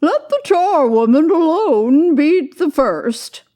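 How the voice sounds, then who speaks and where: Brash charwoman, high and loud. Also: expressive, takes it slowly. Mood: gleeful, bold. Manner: flaunting, bold, exclaiming. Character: brash, defiant charwoman with a scheming glee.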